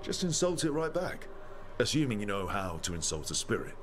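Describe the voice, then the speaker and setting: A man voicing a calm, decisive party leader in a fantasy video game, measured and matter-of-fact. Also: fairly low pitch, a little hiss or hum. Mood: easygoing.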